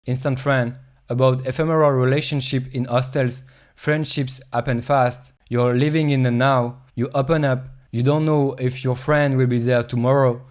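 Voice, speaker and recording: Flat-voiced man narrating. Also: medium pitch, normal speed. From Europe. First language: French. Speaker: travel vlogger. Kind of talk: reflective scripted voice-over narration.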